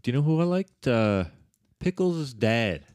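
A man's voice: mid-range voice, very animated, a little slow, a little mellow, no room sound, studio quality. A man in his thirties, co-hosting a podcast in casual chat, rambling with fillers and swearing. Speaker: podcast co-host.

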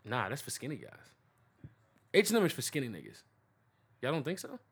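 A man's voice: mid-range voice, wildly swooping pitch, a little fast, slightly rough. A young man co-hosting a casual podcast chat, talking in loose slang with lots of laughing. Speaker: podcast co-host.

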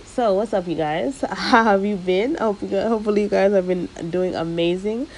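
A young woman hosting her own podcast, talking casually and lively and dropping Swahili slang into her speech. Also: mid-range voice, normal speed, a little hiss or hum. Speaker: podcast host. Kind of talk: casual solo podcast monologue. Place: living in the United States.

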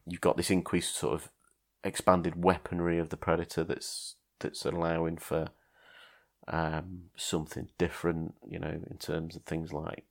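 A man's voice: low voice, completely flat delivery, normal speed, creaky. A man hosting a casual film podcast, talking in a hesitant way with many ums and restarts. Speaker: podcast host.

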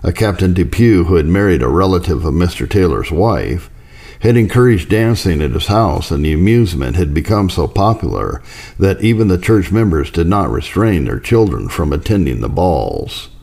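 A man reading a historical religious account as a scripted narration, in a measured, formal manner. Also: low-pitched, big pitch swings, vocal fry, darkish tone.

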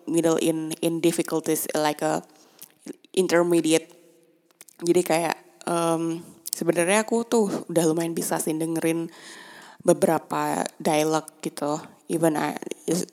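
Low-voiced woman, hesitant and code-switching. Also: a little mellow. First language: Indonesian. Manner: switches between Indonesian and English mid-sentence; hesitant, many fillers and restarts, laughs often. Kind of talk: casual monologue.

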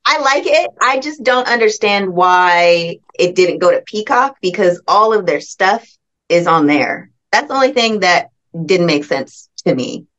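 A woman's voice: fairly low pitch, animated, a little slow, a bit bright. A young woman being interviewed on a podcast, talking casually and upbeat, laughing easily. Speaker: guest, aspiring wrestling journalist. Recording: slightly dull lo-fi audio.

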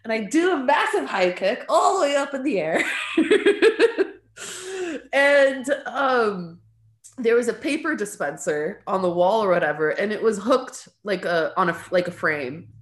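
A woman's voice: mid-range voice, expressive, a little slow. A woman, a podcast guest and artist, telling stories of growing up in a rambling, casual way, dropping "like" and "um" and laughing often. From California and Lebanon. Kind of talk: casual storytelling in an interview. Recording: roomy echo.

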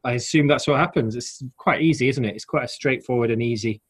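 A man's voice: mid-range voice, fast talker, husky. A man in his forties from Yorkshire with a Yorkshire accent, chatting as a guest in a rambling, self-deprecating way with wry asides. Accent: Yorkshire English. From Yorkshire, England. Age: 40s. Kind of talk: casual interview chat.

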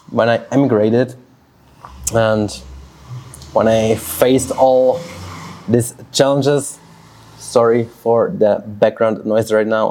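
A man in his thirties from Switzerland, a native Swiss German speaker, thinking aloud in a personal vlog with pauses and restarts. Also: mid-range voice, a little mellow, low-quality recording. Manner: reflective, talks slowly with pauses and restarts. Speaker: fitness coach. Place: outdoors near a road.

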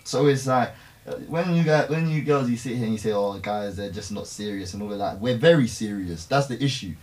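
A man's voice: fairly low pitch, animated, fairly quick, distant mic, rough recording. A man, rambling in a casual group chat with lots of slang fillers. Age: 20s. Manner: laid-back, rambling, heavy slang fillers. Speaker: podcast guest.

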